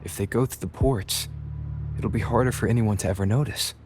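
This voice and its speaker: An actor playing a composed, analytical young investigator in a video game, explaining in a measured way. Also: male voice, fairly low pitch, noisy background, close to an everyday voice. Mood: calm, focused.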